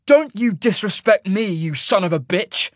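A man's voice: sits high, animated, moderate pace, no room sound, clean studio sound, voice pushed up. A man voicing a hot-headed, defiant fighter in a fantasy audiobook, snapping and demanding. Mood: angry.

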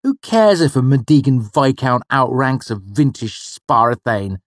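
A man's voice: medium pitch, big pitch swings, unhurried, no room sound, studio quality, voice pushed up. A man voicing his younger self as a boy, protesting and grudging, in a raised voice.

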